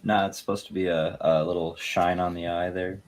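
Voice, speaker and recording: A man's voice: low-pitched, level tone, fairly quick. A man co-hosting a talk show, chipping in with casual banter. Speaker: co-host.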